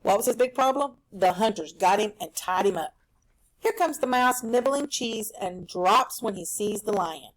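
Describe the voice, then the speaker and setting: A woman teaching a recorded reading lesson for children at home, explaining a story in an animated, explanatory way. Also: mid-range voice, moderate pace, rough recording.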